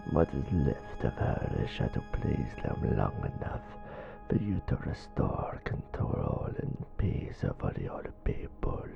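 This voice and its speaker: A man voicing a cold, menacing necromancer in a fantasy audio roleplay, formal and ominous, with a breathy gravelly rasp. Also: rumbling low, big pitch swings, slow pace, in a whisper, muffled recording, over music, exaggerated voice. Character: menacing, cold necromancer, coven envoy to a vampire court.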